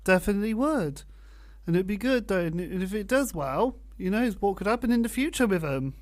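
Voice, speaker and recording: Radio interviewer, mid-pitched voice, prepared questions, conversational delivery. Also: animated, a little fast.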